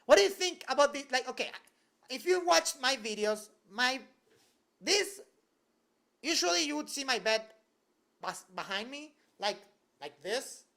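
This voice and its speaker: A man's voice: extremely high pitch, big pitch swings, measured pace, creaky, long pauses, pushed and bright. A young man chats loosely on a livestream from his bedroom. He jokes around, answers viewers on the fly, and leans on "like" constantly. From Venezuela. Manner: loose and joking, heavy use of "like". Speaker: YouTuber answering live chat. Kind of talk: casual livestream Q&A. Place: home bedroom at his desk.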